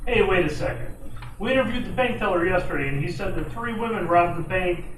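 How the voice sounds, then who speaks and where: A man's voice: high voice, a little fast, natural voice. A man playing a no-nonsense, commanding police chief in an amateur old time radio detective drama. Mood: stern. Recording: echoey, distant room with faint noise.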